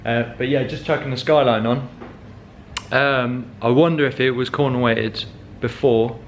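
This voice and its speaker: A man's voice: medium pitch, fairly even intonation, measured pace, a little hiss or hum. Chatty young man rambling with ums. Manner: rambling, many ums, self-correcting. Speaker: car vlogger. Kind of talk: casual garage vlog.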